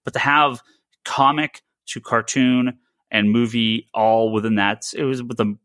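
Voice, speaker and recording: A man co-hosting a casual panel discussion, talking haltingly and often stammering over repeated words. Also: medium pitch, steady tone, fairly bright tone, dry recording, clean studio sound. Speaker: podcast co-host.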